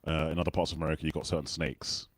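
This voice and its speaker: A man's voice: extremely low pitch, flat delivery, rapid-fire, no room sound, studio quality. A man from the UK hosting a casual video call livestream, jokey and self-deprecating. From United Kingdom. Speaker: host.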